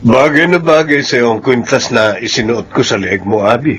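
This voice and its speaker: A man's voice: mid-range voice, moderate pace, a bit bright, soft background music, natural voice. A man playing an arrogant, blunt, wealthy older suitor with a Filipino accent in a radio drama. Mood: seductive, boastful. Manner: smooth-talking, blunt, boastful.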